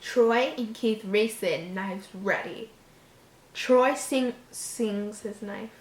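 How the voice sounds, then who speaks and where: A woman's voice: mid-range voice, very slow. Female story reader, horror material, trips over lines, drops in exasperated commentary; echoey room sound. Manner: stumbles over words, breaks off into mocking, exasperated asides. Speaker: host reading a story aloud. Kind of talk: reading a horror story aloud with exasperated asides.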